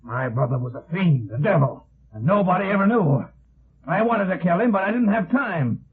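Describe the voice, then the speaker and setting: Bitter, raspy vengeful madman, old radio. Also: sits high, lively intonation, normal speed, exaggerated voice. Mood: bitter, ranting. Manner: ranting, melodramatic. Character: vengeful, bitter older man, unhinged confessed killer. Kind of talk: 1950s radio drama acting. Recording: muffled vintage radio recording.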